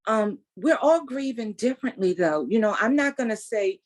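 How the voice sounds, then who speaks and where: Animated woman in her sixties. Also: fairly high pitch, moderate pace. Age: 60s. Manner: animated, emphatic, faith-filled storytelling. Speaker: guest. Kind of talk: casual interview, testimony.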